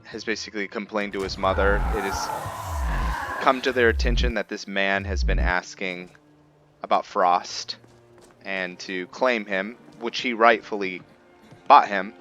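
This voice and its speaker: A man with a low voice hosts a gameplay video in a casual, conversational way. He thinks aloud in a laid-back manner, with faint game music playing behind him. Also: pushed and bright. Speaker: gaming video host. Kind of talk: casual roleplay gameplay commentary.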